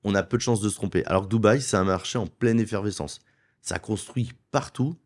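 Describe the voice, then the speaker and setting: A middle-aged man from France speaks with a somewhat low voice. He argues his points persuasively in a studio interview, stacking them in quick runs. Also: darkish tone. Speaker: wealth advisor and property investment guest. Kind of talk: conversational interview.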